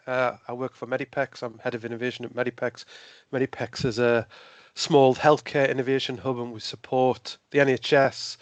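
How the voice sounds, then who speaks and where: Hoarse man presenting with a cold. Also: mid-range voice, dry recording. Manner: hesitant, many fillers and restarts. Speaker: presenter at a funding webinar. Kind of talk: informal slide presentation.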